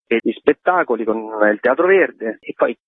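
A man's voice: medium pitch, expressive, normal speed, breathy edge. A man chatting informally in a radio interview as an event organizer, in loosely strung phrases. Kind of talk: casual conversational radio interview. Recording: tinny, phone-like radio interview line.

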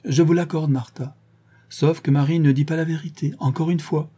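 Stern middle-aged woman interrogator, read by a man, husky and mellow, coldly accusing. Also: fairly high pitch, steady tone, normal speed, pitched above the performer's own voice. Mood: probing. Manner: cold, probing, relentlessly accusing.

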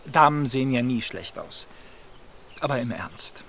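A witty young gentleman. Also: medium pitch, a little slow, creaky, a little hiss or hum. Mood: chatty. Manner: lively, witty, gossipy. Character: charming, talkative young gentleman. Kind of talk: radio play acting.

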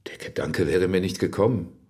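A man's voice: deep voice, fairly quick, darkish tone, close to an everyday voice. An actor playing a candid, bewildered married man in a radio drama, giving plain answers. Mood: frank. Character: questioned married man, frank, bewildered by affair. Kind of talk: German radio drama acting.

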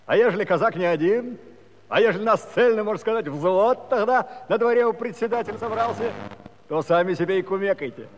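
A high-voiced, garrulous old Cossack. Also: male voice, lively intonation, fairly quick. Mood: self-important. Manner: folksy, pompous mock-official turns of phrase. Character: garrulous old Cossack villager telling his tale. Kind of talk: comic storytelling in a musical radio play. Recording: dull, noisy old 1970s recording.

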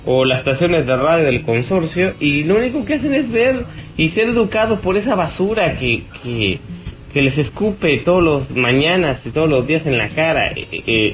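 A man with a Mexican accent giving informal, opinionated political commentary as a radio host, rambling and halting, with blunt scorn. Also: fairly high pitch, muffled recording. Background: music.

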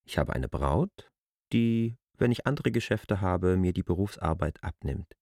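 An actor playing a proud, defensive land surveyor in a German literary radio drama, arguing deliberately in formal phrasing. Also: male voice, deep voice, lively intonation, darkish tone, dry recording, studio quality, full character voice. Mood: defiant.

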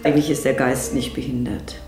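A woman's voice: extremely low pitch, little pitch movement, a little fast, echoey room. A middle-aged woman from East Germany explaining her work thoughtfully, as in a documentary interview. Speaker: founder of an inclusive theater group.

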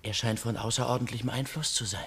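An actor playing a simple, eager young peasant, naive and chatty, in a theatrical radio play. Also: male voice, medium pitch, fairly even intonation, fast talker, a bit bright, husky. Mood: impressed.

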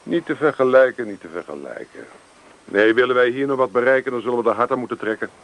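An actor playing a restless, evasive young student and farm son in a Dutch radio play, brushing off worries breezily. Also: male voice, mid-range voice, expressive, fast, natural voice, low-quality recording. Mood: breezy. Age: young adult.

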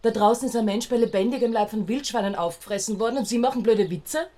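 A woman's voice: a little high, fast talker, mellow, close to an everyday voice. An actress playing an exasperated, concerned woman in a radio drama. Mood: indignant.

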